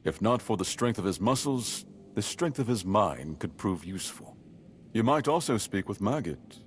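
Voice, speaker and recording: An actor playing a solemn, duty-bound noble lord in a game, speaking in a measured, stately way. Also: male voice, on the low side, lively intonation, slight background noise, natural voice. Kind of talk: voiced game dialogue.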